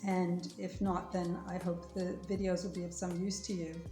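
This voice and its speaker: A woman's voice: fairly low pitch, flat delivery, moderate pace, mellow, echoey room, soft background music. A woman lawyer speaking to viewers in an earnest, careful way, urging them to listen to everything she explains. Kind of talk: informational talk to viewers.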